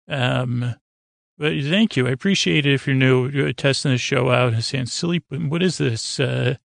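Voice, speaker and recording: A man with a creaky voice hosting a sleep podcast, talking in a halting, rambling, gently goofy way that drifts into repetition and wordplay. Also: medium pitch, no echo, clean studio sound. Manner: meandering, halting, repeats and trails off into wordplay. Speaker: podcast host. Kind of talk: rambling bedtime talk to help listeners fall asleep.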